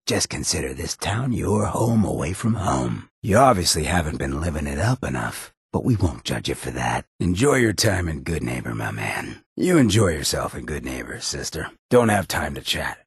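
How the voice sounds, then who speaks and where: An actor playing a roguish, cynical charmer of a town mayor in a video game, with a raspy, gravelly voice and a cocky, slangy drawl. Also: male voice, very deep voice, big pitch swings, moderate pace, few pauses, dry recording, studio quality, exaggerated voice. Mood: swaggering, welcoming. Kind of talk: video game character dialogue.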